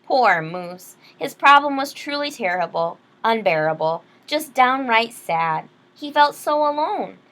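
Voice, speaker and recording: A woman reading a children's picture book aloud expressively, pausing to explain things to young listeners. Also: a little high, animated, fairly bright tone. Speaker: read-aloud storyteller.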